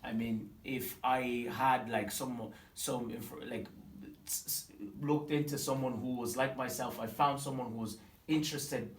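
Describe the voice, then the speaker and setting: A man in his twenties from Tanzania, telling his story in a casual interview, animated and full of fillers and restarts. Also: medium pitch, a little slow. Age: 20s. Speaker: business owner interviewed. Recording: echoey room, mic at a distance, faint ambience.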